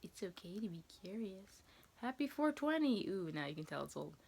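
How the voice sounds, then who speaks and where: A woman's voice: medium pitch, big pitch swings, moderate pace, creaky, darkish tone, husky. A woman in her twenties with an American accent, chatting casually as she answers viewer questions, rambling and hesitant as she thinks aloud. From New England, USA. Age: 20s.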